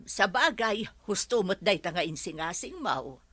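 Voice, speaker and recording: A woman's voice: medium pitch, sing-song, unhurried, fairly bright tone, no echo. A woman with an Ilocano accent playing a chatty, inquisitive household companion in a radio drama. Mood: curious.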